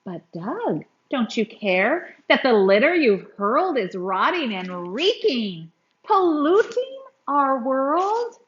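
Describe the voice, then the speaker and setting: A woman's voice: high-pitched, big pitch swings, slow pace, dull audio, full character voice. A woman voicing an earnest, preachy caped recycling superhero man in a storybook, with emphatic urging delivery. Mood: earnest, pleading.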